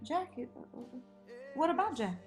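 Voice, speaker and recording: A caring, insistent wife and mother in middle age played by an actress at a table read. Her voice is fairly high and swings widely in pitch, over faint music. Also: sing-song, fairly quick. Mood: alarmed.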